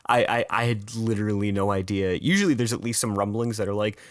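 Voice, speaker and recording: A man with a raspy voice, chatting casually as a podcast co-host and repeating words as he thinks aloud. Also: fairly low pitch, fast, no echo, studio quality. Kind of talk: casual chat.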